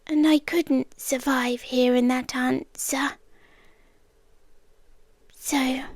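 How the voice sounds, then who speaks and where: Woman acting a slow, high-voiced British yandere girlfriend. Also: dry recording, studio quality, full character voice. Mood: hushed, anxious. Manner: slow, hushed, deliberate, often slipping into whispers. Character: soft-spoken obsessive yandere girlfriend, gently menacing captor. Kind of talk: intimate ASMR roleplay. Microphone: close microphone, dry.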